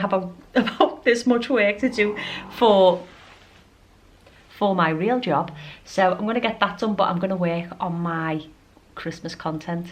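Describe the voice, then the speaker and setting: A middle-aged woman chatting informally and ramblingly to camera as a lifestyle vlogger. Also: mid-range voice. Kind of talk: casual chatty vlog to camera. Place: at home.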